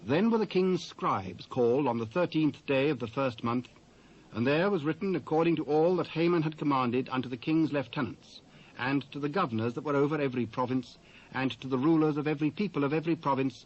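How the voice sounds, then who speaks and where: A British man narrating scripture in a solemn, measured, dramatised style. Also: on the high side. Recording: dull old cassette recording.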